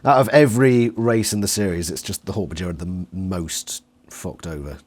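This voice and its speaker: A British man chatting casually as an interviewer, jokey and quick to swear. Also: sits low, darkish tone. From Britain.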